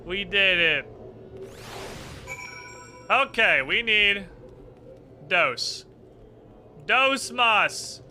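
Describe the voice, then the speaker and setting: A man commentates on a game livestream in a very bright, forceful voice pitched a little high. His delivery is irreverent and sarcastic, with loose swearing. Faint music plays behind him. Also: expressive, extremely slow, pauses often. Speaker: livestreamer playing an online role-playing game. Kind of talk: lively gameplay commentary with mock dialogue readings.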